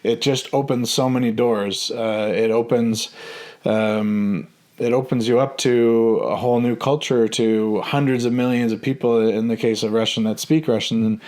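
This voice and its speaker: Man in his thirties, hesitant storyteller, mid pitch, frequent fillers and restarts. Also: steady tone, normal speed, darkish tone. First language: English. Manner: hesitant, many ums and restarts. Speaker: vlogger. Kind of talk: casual personal storytelling.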